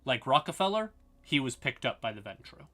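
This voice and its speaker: A man's voice: medium pitch, sing-song, fairly quick, bright, forceful tone. A man hosting a livestream, explaining fantasy lore in a casual, informal manner with some swearing. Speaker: live streamer and host.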